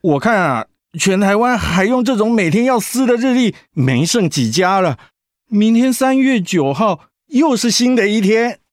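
Animated Taiwanese grandpa, dry studio. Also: male voice, high voice, normal speed, no echo. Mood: playful, content. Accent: Taiwanese Mandarin. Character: retired grandfather, doting practical good-humoured husband. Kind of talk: radio drama acting.

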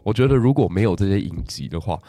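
A man, a guest history teacher, telling stories in lively casual podcast chat and laughing often. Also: lowish voice, fast, faint music behind. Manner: lively storytelling with frequent laughs.

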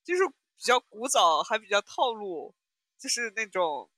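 A woman's voice: medium pitch, very animated, unhurried, a bit bright, no echo. A woman co-hosting a podcast, chatting casually about audio dramas in a playful, teasing way. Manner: playful, giggly, teasing storytelling. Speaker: podcast co-host.

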